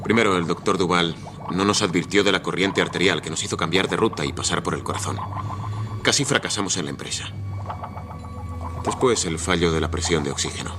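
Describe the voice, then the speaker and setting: Earnest, suspicious male expedition member, actor's role: grave, low voice, suspense radio drama with audible noise. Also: fairly quick, lightly acted.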